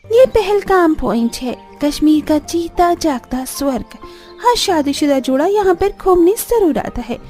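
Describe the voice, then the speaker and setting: Woman voicing a very high, bubbly young woman. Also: animated, measured pace, dark tone, faint music behind. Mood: gushing. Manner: gushing, exclaiming. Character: bubbly, boastful young newlywed village women on phone.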